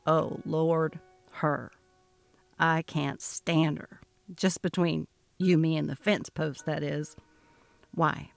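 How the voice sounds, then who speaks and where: An easygoing young man played by a woman in a dramatically read story, pitched down low. He is folksy and confiding, unhurried in his talk, with faint music behind. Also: flat delivery, gravelly, heavily acted. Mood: scornful, confiding. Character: easygoing young married man, gossipy old flame, bitter about stepsister. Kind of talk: dramatic reading of a text-message story.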